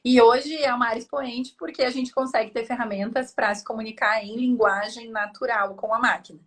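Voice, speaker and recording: A woman, a professor and guest expert explaining a technical topic in a clear, teacherly way. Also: a little high, steady tone, brisk, a bit bright. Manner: clear, explanatory, frequent "né?" tags. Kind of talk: didactic panel explanation.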